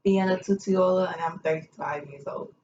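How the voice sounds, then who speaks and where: A woman's voice: lowish voice, moderate pace, darkish tone, natural voice. A young woman playing a sincere investigator guest in a scripted amateur interview. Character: sincere female crime scene investigator guest. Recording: muffled room recording with faint hiss.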